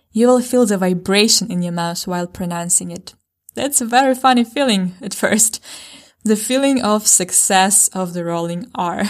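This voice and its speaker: A woman's voice: mid-range voice, a little mellow. Ukrainian woman teaching a podcast lesson. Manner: clear, slow model pronunciations. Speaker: language teacher, podcast host.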